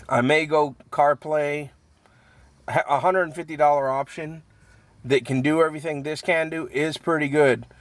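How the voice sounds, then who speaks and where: A man giving a casual, unscripted product review, halting as he thinks aloud and repeats words, in a matter-of-fact, mildly critical way. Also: medium pitch, dry recording. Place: inside a truck cab.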